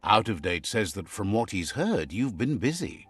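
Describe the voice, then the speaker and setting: An actor voicing a wry, wise male storyteller, narrating a video game fable in a measured, theatrical way. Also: fairly low pitch, sing-song, fairly bright tone. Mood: wry, storytelling.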